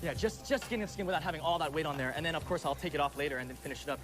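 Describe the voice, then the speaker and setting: A man explains his cooking as a TV contestant. He talks extremely fast in a high voice, with clear show music behind him. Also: animated.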